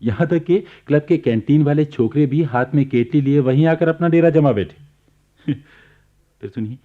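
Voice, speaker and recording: An actor playing an amused storyteller in a radio play, narrating in a wry, chatty way. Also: male voice, a little high, normal speed, close to an everyday voice. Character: amused male storyteller recounting neighbourhood gossip. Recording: dull, lo-fi archive radio recording.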